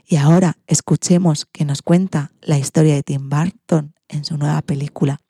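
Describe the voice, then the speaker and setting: Woman host with a spain accent, low mellow voice, bit of creak, reading her segment. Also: steady tone, moderate pace, no echo, clean studio sound. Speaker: radio programme host. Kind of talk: scripted radio segment narration.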